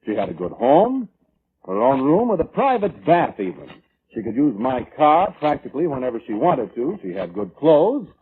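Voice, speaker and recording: A man's voice: medium pitch, big pitch swings, moderate pace, natural voice. An actor playing a selfish, self-justifying man in an old-time radio drama, defensive and colloquial in manner. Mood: indignant. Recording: muffled vintage radio recording.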